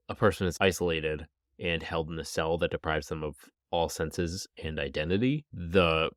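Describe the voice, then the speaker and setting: A man's voice: deep voice, dry recording, studio quality. A man hosting a true-crime podcast in a chatty, apologetic way while sick with a stuffy, nasal voice. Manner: chatty and apologetic, with some restarts. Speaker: podcast host.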